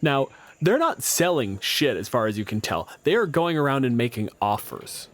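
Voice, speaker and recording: A man's voice: medium pitch, sing-song, moderate pace. Male game master, mid-range voice, improvising scenes conversationally with dry, sweary humor. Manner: conversational, wry, profane. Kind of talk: improvised casual game narration.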